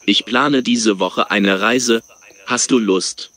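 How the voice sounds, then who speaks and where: A man reading his part in a scripted dialogue with measured, careful delivery. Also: fairly low pitch, fairly bright tone.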